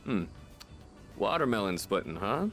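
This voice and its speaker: Low-voiced, cool athletic senior student. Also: male voice, big pitch swings, measured pace, fairly bright tone. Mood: cool, curious. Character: cool, confident athletic older teenage boy, stoic fighter. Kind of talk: video game voice acting. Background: game music.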